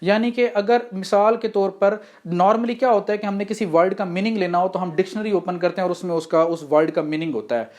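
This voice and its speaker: A woman's voice: mid-range voice, fairly quick, few pauses, a little mellow. Pakistani woman giving an explanatory lecture. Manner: didactic, poses questions and answers them. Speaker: law lecturer.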